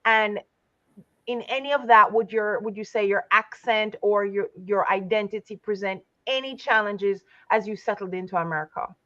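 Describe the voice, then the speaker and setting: Woman interviewer, measured and encouraging, dry close sound, slightly muffled audio. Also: mid-range voice, fairly bright tone, no room sound. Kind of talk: interview questions.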